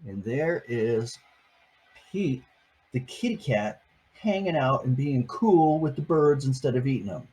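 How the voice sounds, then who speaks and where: Slow, husky-voiced older man reading aloud. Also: mid-range voice, lively intonation, rough recording. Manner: chatty asides between pages, gentle and playful. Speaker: grandfather reading to his grandchildren. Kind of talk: reading a picture book aloud.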